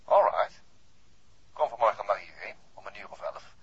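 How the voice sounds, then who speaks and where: A man's voice: on the low side, lively intonation, normal speed, breathy edge, muffled recording, full character voice. An actor with a Netherlands Dutch accent playing a curt, suspicious henchman speaking over the telephone in a 1960s radio play. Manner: brusque, wary.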